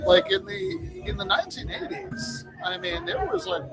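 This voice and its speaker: A man chatting casually on a podcast, halting often and circling back over his own phrases. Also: very high voice, very animated, vocal fry. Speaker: podcast host. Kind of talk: casual chat. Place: bar. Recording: loud music behind the voice. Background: loud music.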